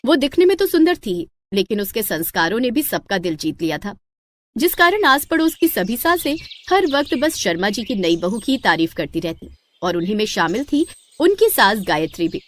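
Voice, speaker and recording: A woman's voice: medium pitch, big pitch swings, normal speed. A woman narrating a scripted moral story as the storyteller.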